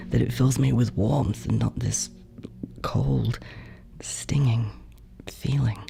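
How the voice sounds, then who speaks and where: An actor playing a jealous, guilt-ridden older brother in a horror audio drama monologue, halting and confessional, with a gravelly, creaky voice. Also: male voice, mid-range voice. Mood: wounded longing. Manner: hesitant, confessional, halting, drops toward whispers.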